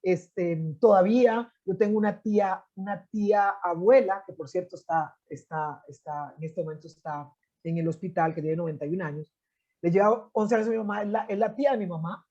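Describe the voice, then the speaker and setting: A woman's voice: on the low side, moderate pace, mellow, dull audio. A middle-aged Costa Rican woman, an anthropologist and poet, telling family history in an interview, with many restarts and "este" fillers. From Costa Rica. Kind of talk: conversational storytelling.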